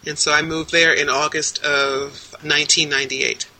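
A woman in her sixties speaks over a video call, her voice very bright and sharp-edged. She answers in a measured, articulate way, stringing details into long lists. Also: sits low, little pitch movement, rough recording. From United States. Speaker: interviewee. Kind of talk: casual interview answers.

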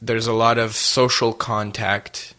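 A young man from Haiti, answering interview questions in a soft-spoken, casual way, often restarting his phrases. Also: on the low side, level tone, takes it slowly. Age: young adult. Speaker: interview guest.

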